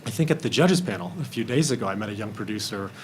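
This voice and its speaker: A man's voice: fairly low pitch, expressive, fast talker, noisy background. A man speaking candidly as a film panelist in a roundtable discussion, acting out bits of remembered conversation.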